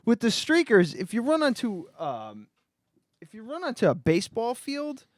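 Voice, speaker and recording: Podcast co-host guy, high and bouncy voice, swears a lot. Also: wildly swooping pitch, normal speed, no room sound, studio quality. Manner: blunt, swears, wry. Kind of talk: casual chat.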